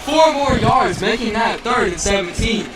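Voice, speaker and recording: A man with a raspy voice calling play-by-play for a live game, often stumbling and restarting. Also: sits high, expressive, a crowd in the background. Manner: halting, stumbles and restarts on names. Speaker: play-by-play announcer. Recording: echoey, distant mic, loud music.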